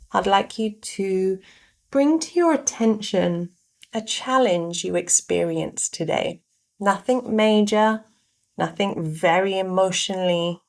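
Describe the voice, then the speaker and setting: A woman guiding a meditation, giving gentle instructions in short phrases with pauses between them. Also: mid-range voice, creaky. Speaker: meditation guide. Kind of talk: guided meditation narration.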